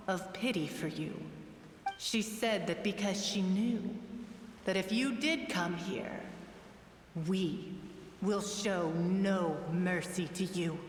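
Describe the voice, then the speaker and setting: Woman voicing vengeful ghost of murdered girls, solemn n accusing, slow, big echoey hall, game cutscene. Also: mid-range voice, lightly acted. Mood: merciless. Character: vengeful merged spirit of murdered young women. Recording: echoey hall, distant, noisy.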